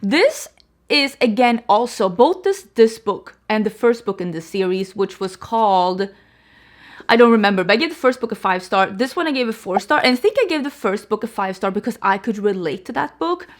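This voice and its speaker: A woman's voice: medium pitch, lively intonation, normal speed. A young woman, talking casually to camera as she reviews books, with lots of fillers and self-corrections. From Sweden. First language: Swedish. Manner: chatty, filler-heavy, frequent restarts and "like". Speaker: book reviewer. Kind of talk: casual book review chat.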